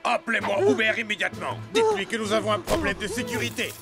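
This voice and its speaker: An actor playing a boastful, militaristic football coach in a French-dubbed cartoon, with a pompous, commanding manner. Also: male voice, high-pitched, huge pitch swings, quick pace, over loud music, heavily acted. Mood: urgent. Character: boastful militaristic male football coach, barking drill orders.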